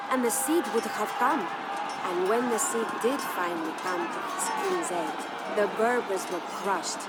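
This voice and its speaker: An actress playing a devoted, sorrowful medieval Spanish noble wife who narrates her husband's legend in a solemn, measured way for a game. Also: medium pitch, natural voice, low-quality recording. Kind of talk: scripted dramatic storytelling narration. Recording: studio narration over prominent music.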